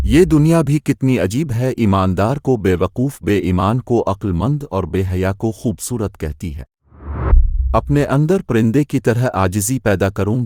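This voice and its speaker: A man reading scripted inspirational sayings in a measured, solemn manner. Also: lowish voice, mellow, no echo, clean studio sound. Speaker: narrator of religious quotations. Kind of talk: scripted narration of inspirational Urdu quotes.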